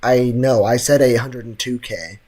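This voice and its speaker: Young man streaming, mid-pitched and slangy. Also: steady tone, moderate pace, a little mellow. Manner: joking, slangy. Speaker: livestreamer. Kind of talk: casual game stream commentary.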